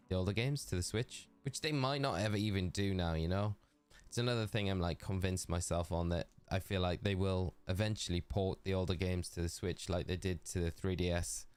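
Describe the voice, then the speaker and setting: A man with a Geordie accent chatting casually and rambling on a live game stream, talking to his chat. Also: low voice.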